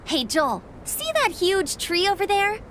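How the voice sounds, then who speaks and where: A very high-voiced perky young adventurer. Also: female voice, big pitch swings, a little slow, a bit bright, a little hiss or hum, heavily acted. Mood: upbeat. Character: perky, friendly young female adventurer, eager and kind. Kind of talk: video game voice acting.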